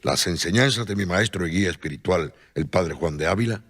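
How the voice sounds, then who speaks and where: A man voicing a devout, humble male saint in a radio drama, speaking in solemn, pleading tones with soft music behind. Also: low-pitched, lively intonation, slightly rough, exaggerated voice. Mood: earnest. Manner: solemn, pleading, slow emphatic prayer.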